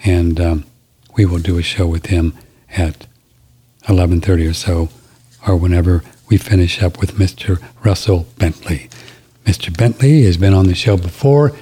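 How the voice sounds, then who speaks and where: A man's voice: sits low, moderate pace, dark tone, slightly rough. A man hosting a live talk radio show, rambling casually with many fillers.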